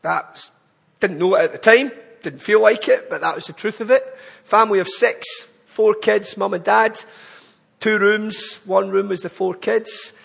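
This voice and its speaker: A man's voice: sits high, unhurried, vocal fry, fairly bright tone, breathy edge, big-room sound. A man with a Glaswegian accent giving an earnest, pleading charity appeal in church. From Scotland. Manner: earnest, pleading, building to emphatic lists.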